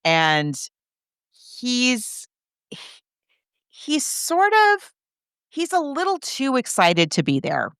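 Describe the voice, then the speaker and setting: Clear-voiced woman, chatty podcast co-host. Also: a little high, huge pitch swings, extremely slow, long pauses, fairly bright tone, no room sound, studio quality. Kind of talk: casual book discussion, recapping a story.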